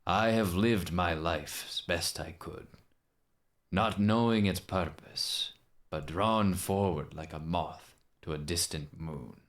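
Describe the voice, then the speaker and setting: A man voicing a solemn, world-weary older assassin in a game monologue, with a measured, grave, wistful delivery. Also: low-pitched.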